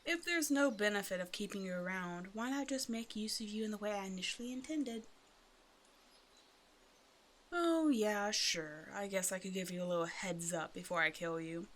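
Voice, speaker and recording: A woman's voice: mid-range voice, normal speed, a bit bright, close to an everyday voice. A woman playing a predatory female naga in an ASMR roleplay, talking to the listener with teasing, condescending, casual menace. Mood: smug, threatening. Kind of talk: ASMR roleplay, one-sided scripted dialogue to the listener.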